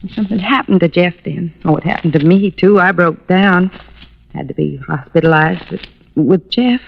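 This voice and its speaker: Fragile young wife in vintage radio melodrama: sweet, earnest, hesitant, on a muffled old recording. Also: female voice, fairly low pitch, normal speed, vocal fry, breathy edge. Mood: sorrowful. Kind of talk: old-time radio drama acting.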